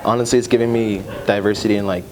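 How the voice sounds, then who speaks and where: A man's voice: on the low side, steady tone, fast, poor audio. A young man, a student, earnestly answering interview questions with many fillers. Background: background music.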